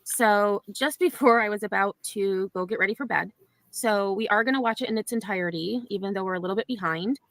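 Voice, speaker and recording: A woman's voice: medium pitch, a little fast, fairly bright tone, no room sound, poor audio. A woman hosting a livestream, chatting casually with her viewers. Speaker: livestream host.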